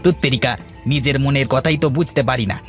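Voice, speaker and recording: A man playing a chatty, good-natured village farmer in a cartoon, speaking in an expressive, colloquial, teasing way. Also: mid-range voice, brisk, faint music behind, lightly acted. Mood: teasing grumble. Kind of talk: cartoon voice acting in a village moral tale.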